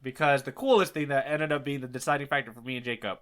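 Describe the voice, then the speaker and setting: A man's voice: medium pitch, steady tone, fast, fairly bright tone. A man co-hosting a podcast in a casual, conversational style. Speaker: podcast co-host.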